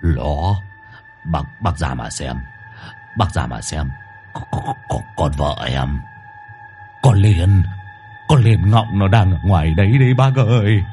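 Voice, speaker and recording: A man's voice: low-pitched, lively intonation, slow, pauses often, warm tone, faint music behind, pitched below the performer's own voice. A man voicing a cowardly henpecked husband who stammers and pleads tearfully. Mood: panicked.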